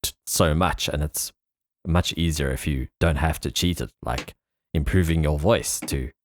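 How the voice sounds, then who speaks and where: A man's voice: a bass voice, a little slow, slight background noise. A man hosting a podcast in a casual, conversational style. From South Africa. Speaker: podcast host. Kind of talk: casual interview chat.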